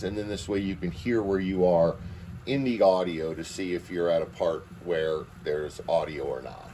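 A man's voice: on the low side, warm tone, slight background noise. A man presenting a casual, unscripted product demonstration, talking as he goes. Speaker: presenter demonstrating audio equipment. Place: indoor room at home, beside a tape deck.

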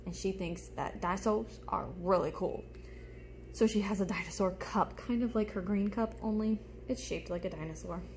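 A woman's voice: mid-range voice, moderate pace, a little mellow, slight background noise. A young woman teacher giving a show-and-tell lesson to small children, explaining things simply and expressively. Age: young adult. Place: small room at home, indoors. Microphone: phone held close in front.

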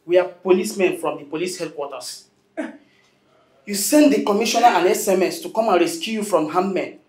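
A man's voice: high-pitched, fairly quick, darkish tone, echoey room, soft background music, lightly acted. An actor playing a policeman firmly questioning a woman who is crying. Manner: firm, questioning. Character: insistent police officer.

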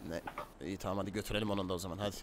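A man in casual game roleplay chat, bossy and excitable, talking in slang like the boss of a crew. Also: low voice, rapid-fire, a crowd in the background. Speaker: gaming video host and gang boss in roleplay.

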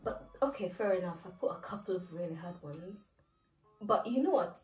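A woman's voice: fairly low pitch, lively intonation, normal speed, darkish tone, soft background music. A woman in her twenties quizzing her sister in a casual vlog, teasing and laughing often. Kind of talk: casual chat, quiz game. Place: living room, indoors. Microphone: far camera. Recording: roomy sound from a distant camera.

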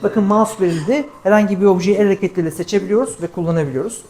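A woman's voice: medium pitch, rapid-fire, a little mellow. A woman giving a live product demonstration, explaining things step by step as a presenter.

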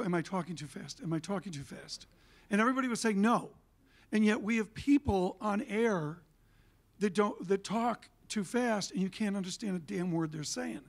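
A man's voice: high-pitched, vocal fry. An older man speaking emphatically on a conference stage, gesturing as he holds a handheld mic. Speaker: panelist, TV and media figure. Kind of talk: conference panel talk.

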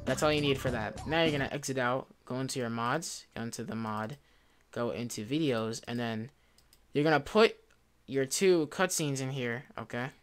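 A young man giving a casual software tutorial in an informal, rambling way, often correcting himself. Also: medium pitch, moderate pace. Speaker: tutorial presenter. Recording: music behind, keyboard clicks. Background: music, computer typing and clicks.

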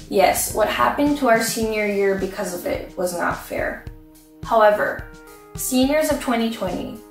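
A young woman giving a playful, upbeat graduation speech to her classmates from home. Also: fairly high pitch. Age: young adult. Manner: playful, upbeat, with comic asides. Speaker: graduating student speaker. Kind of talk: prepared commencement speech. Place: bedroom at home, indoors. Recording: roomy echo, mic at a distance.

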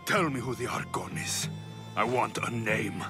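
An actor playing a tough warrior mercenary in a video game, blunt and demanding, with a hot temper. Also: male voice, lowish voice, a little slow, lightly acted. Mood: furious, threatening. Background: music.

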